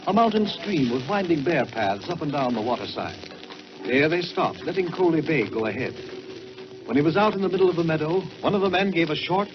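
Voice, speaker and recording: Theatrical 1940s radio storyteller, high-pitched man. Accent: American. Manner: theatrical, measured delivery with drawn-out words. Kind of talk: 1940s radio dramatic narration. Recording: dull vintage 1940s radio, music behind.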